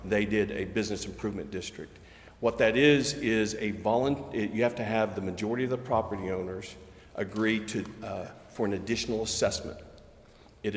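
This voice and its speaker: A man explaining things step by step in measured remarks as a local development official at a public meeting. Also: on the low side. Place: city council chamber. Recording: echoey reverberant meeting chamber.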